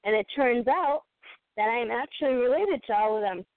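A talkative young woman with a Boston accent, her voice a bit high and coming through a tinny phone line. She is a caller in a casual phone-in chat, and she laughs often. The sound is dry, with no room around it. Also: animated, moderate pace, no echo.

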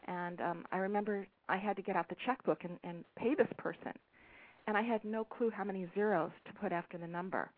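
A soft-spoken woman, an author, being interviewed over the phone, speaking hesitantly with many pauses and ums. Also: lowish voice. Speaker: author and guest. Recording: tinny phone line with faint hiss.

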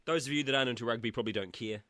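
A man's voice: mid-range voice, very fast, a bit bright, no room sound, clean studio sound. A man with a New Zealand accent hosting his own radio show in casual chat, cheeky and jokey, with a sore throat and blocked nose. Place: small studio room at back of a shed.

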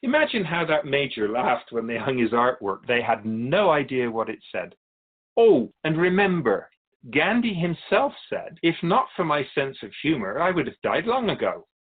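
A man's voice: medium pitch, big pitch swings, narrow, tinny audio. A man playing a wise otherworldly keeper of souls in a radio drama, courteous, philosophical and wry as he tells stories. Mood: wry, storytelling. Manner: measured, philosophical, gently challenging. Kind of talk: radio drama dialogue, storytelling.

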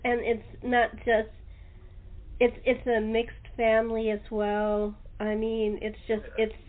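A woman host chatting casually with guests in an informal, agreeable way. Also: medium pitch. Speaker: host interviewing radio-play cast. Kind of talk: casual chat. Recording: thin narrow-band call audio.

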